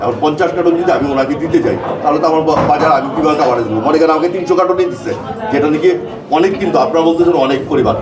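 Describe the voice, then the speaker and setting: A man's voice: on the high side, very fast. A Bangladeshi man, an oil dealer, explaining himself deferentially and off the cuff to inspectors. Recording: echoey, distant, crowd around.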